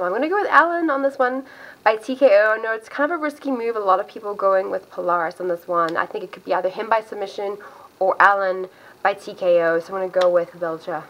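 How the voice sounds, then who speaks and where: A woman's voice: mid-range voice, a little fast, highs cut off. A woman from South Africa with an accent that mixes South African and American, chatting casually and upbeat to camera as she gives her fight predictions. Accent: mixed South African and American. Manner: chatty, upbeat, self-interrupting. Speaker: MMA video host.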